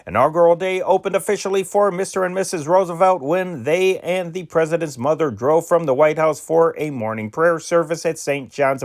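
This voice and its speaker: A man reading old newspaper news stories aloud in a measured, emphatic way, with occasional stumbles and restarts. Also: a little high. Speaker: news reader.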